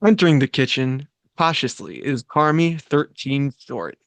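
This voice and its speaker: A man's voice: mid-range voice, very animated, a little slow, a bit bright, no room sound. A man hosting a table read, moving between casual, playfully crude banter and reading out stage directions. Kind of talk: casual, joking group chat and script narration.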